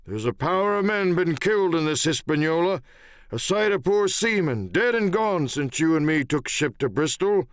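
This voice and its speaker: A man voicing a sly, wounded old pirate coxswain in an audiobook, with wheedling, halting, talk. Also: a little high, animated, no room sound, voice pushed up, natural voice. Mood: grim, wheedling.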